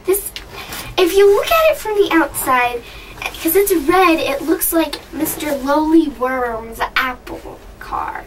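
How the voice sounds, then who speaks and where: A teenage girl speaks in a high voice, heard from a few feet away on a camcorder. She talks in a nervous, jittery way, with clear wind and outdoor noise around her. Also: animated, slow, recorded from a distance. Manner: nervous, keeps telling herself to look at the floor. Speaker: older kid on a family trip. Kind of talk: casual chat. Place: outdoors in a gondola on a mountain.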